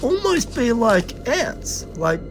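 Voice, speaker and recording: A man's voice: extremely high pitch, slow, heavily acted. A man playing a curious, wry companion to a time traveller in a fan sci-fi audio drama. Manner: animated. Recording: loud music over the voice.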